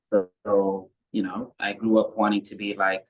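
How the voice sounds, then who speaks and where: Relaxed young man from Kenya. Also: fairly low pitch, normal speed, dull audio. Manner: relaxed, rambling, many fillers. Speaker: musician guest. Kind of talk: casual interview chat.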